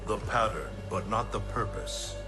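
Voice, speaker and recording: A man's voice: lowish voice, lively intonation, unhurried. A man playing a stern, commanding thunder god in a video game, grave and formal in his declamatory delivery. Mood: grave, resolute. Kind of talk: dramatic video game cinematic acting. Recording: game cinematic with music behind. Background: clear background music.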